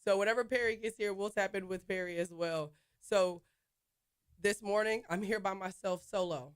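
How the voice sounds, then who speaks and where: A woman hosts a sports talk show on her own and chats easily with her listeners, calling them y'all. Her voice is smooth and clear despite a slight hoarseness. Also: fairly low pitch. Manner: chatty, addresses listeners as y'all. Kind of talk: casual solo show talk.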